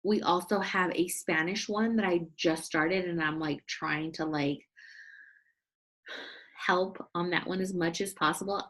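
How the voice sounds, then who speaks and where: A young woman with a fairly low voice runs a casual training call for her team. She talks in a chatty, upbeat way and keeps addressing her listeners directly. Her voice comes through a video call. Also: steady tone, a little slow, a bit bright. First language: English. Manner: chatty and upbeat, often saying "you guys". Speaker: team leader training sales distributors.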